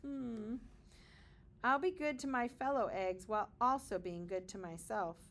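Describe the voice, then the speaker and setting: A woman's voice: on the high side, unhurried, fairly bright tone. A woman reading a children's picture book aloud with dramatic emphasis and short explanatory asides, like a kindergarten teacher.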